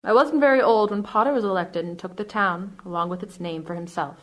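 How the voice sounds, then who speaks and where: A woman's voice: medium pitch, a little fast, close to an everyday voice, rough recording. An actress playing a young woman who is curt and prim with a stranger, then screams for help. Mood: cool guarded. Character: guarded young wife, frightened then relieved. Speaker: actor. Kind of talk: stage or radio play acting.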